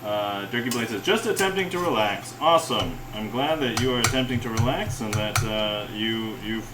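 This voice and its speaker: A man hosting a cooking livestream, rambling and chuckling often as he greets viewers, with a relaxed-sounding voice. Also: lowish voice, normal speed, music in the background. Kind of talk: casual livestream chat while cooking. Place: home kitchen. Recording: echoey room with loud cookware clatter. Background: clinking cutlery, pots and pans.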